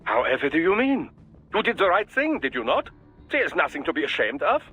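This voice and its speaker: Fussy, high-pitched, husky scientist. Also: male voice, big pitch swings, a little fast, bright, forceful tone, soft background music, exaggerated voice. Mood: polite, defensive. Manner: formal, verbose, precise, over-polite. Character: genial, fussy, erudite male scientist, the mission doctor. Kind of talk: video game voice acting.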